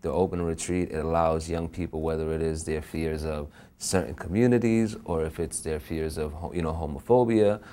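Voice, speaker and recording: A man from the program staff describing it in a measured, explanatory way. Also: rumbling low, fairly even intonation, warm tone.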